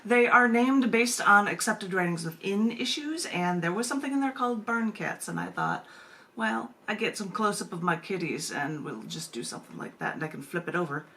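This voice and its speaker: Bright-voiced American woman hosting. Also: medium pitch, animated, moderate pace, a little hiss or hum. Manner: chatty, rambling with asides. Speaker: open mic host and poet. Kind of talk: casual hosting chat and poetry reading on a video call. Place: at home, indoors. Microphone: video call microphone.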